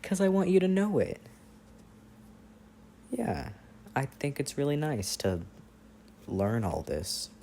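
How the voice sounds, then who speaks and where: A man playing an affectionate, playful boyfriend on a long-distance phone call, in intimate, casual ASMR roleplay with gentle teasing and hesitant pauses. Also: fairly high pitch, big pitch swings, vocal fry, a little hiss or hum, exaggerated voice. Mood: tender, teasing. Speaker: audio roleplay performer.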